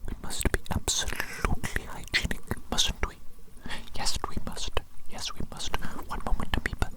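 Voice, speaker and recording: Woman acting as eccentric evil doctor, ASMR roleplay, breathy whisper, doting, fussy, slow repeated phrases. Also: lightly acted. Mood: fussy, reassuring.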